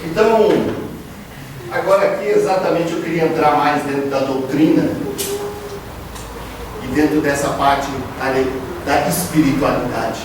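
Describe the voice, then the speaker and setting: A man's voice: on the high side, measured pace, pauses often. A man giving an earnest religious lecture to a live audience, explaining and repeating phrases for emphasis. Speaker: speaker giving a public spiritist lecture. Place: lecture room at a spiritist house. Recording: very echoey hall, distant mic, background noise.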